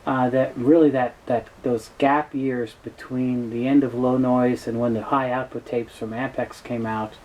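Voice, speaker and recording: A middle-aged man gives an informal, unscripted explanation as a presenter, pausing and correcting himself often. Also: medium pitch, little pitch movement, a little mellow, rough recording. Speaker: presenter, tape specialist. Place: small cluttered indoor office. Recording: noticeable background noise, room ambience.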